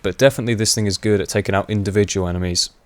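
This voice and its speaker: Chatty young man with a low voice. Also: fairly even intonation, very fast. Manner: rambling, chatty, laughs often, many fillers. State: voice going hoarse. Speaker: gaming commentator. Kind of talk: casual gameplay commentary.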